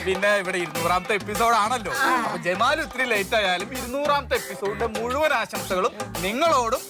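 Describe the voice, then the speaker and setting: A man speaking as a guest in light comic studio chat, with a somewhat hesitant, halting delivery. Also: high voice. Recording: loud music behind the voice.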